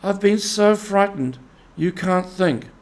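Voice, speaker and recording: Casting: small frightened storybook mole, played by a man, naive and pleading, thin voice pitched well up. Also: expressive, slow. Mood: frightened, relieved. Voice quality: feeble, thin voice. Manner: timid, pleading.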